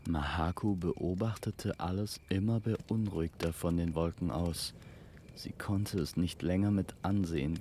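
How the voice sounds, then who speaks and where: A man narrating a scripted fantasy story in a measured, lyrical storytelling manner. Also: sits low, vocal fry, darkish tone, slight background noise.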